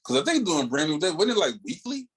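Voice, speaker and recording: A man's voice: on the high side, very animated, fast, a bit bright, slightly rough. An American man co-hosting a podcast in casual fan chat, telling stories enthusiastically with slangy asides. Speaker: comics podcast co-host.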